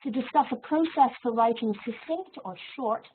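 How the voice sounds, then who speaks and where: A woman lecturer giving an online teaching webinar in a friendly, measured way, with soft laughs and fillers. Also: on the high side, a little hiss or hum. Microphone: video call microphone. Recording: tinny phone-like audio.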